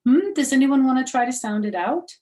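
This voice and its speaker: A woman's voice: high-pitched, animated, fairly quick, mellow, pitched above the performer's own voice. Patient, instructive schoolteacher, a man as acted by a woman. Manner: clear instructive classroom talk, polite but firm. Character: patient male elementary school teacher.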